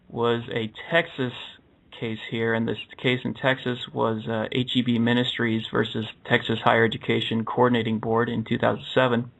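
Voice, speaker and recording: A man hosting a radio talk show, earnestly reading through cases and interviewing a guest, often pausing with uh and correcting himself. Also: medium pitch, vocal fry, fairly bright tone. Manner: earnest, with frequent uh's and self-corrections. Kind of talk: reading case summaries and interviewing. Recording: dull lo-fi broadcast audio.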